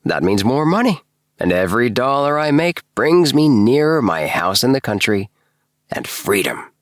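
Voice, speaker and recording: A man voicing an eager, excitable house-obsessed gentleman with a breathless, effusive tenor in a storybook reading. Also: mid-range voice, moderate pace, dry recording, studio quality, pitched above the performer's own voice, natural voice. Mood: rueful, hopeful. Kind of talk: storybook character dialogue.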